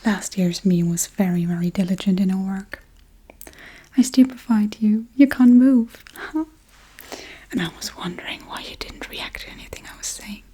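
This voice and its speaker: A woman playing a forgetful, darkly playful witch in a soft-spoken, whispery ASMR role-play, murmuring gently and absent-mindedly. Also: mid-range voice, fairly even intonation, moderate pace, natural voice. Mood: amused, musing. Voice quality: breathy, whispery.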